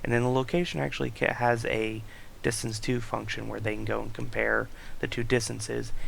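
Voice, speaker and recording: A young man with a very gravelly, creaky voice and a flat, even delivery walks through his project on screen. He explains each step plainly with small hesitations. A faint hiss sits under his computer-mic recording. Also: mid-range voice, low-quality recording. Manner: reads user stories aloud, explains code step by step with fillers. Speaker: student presenter.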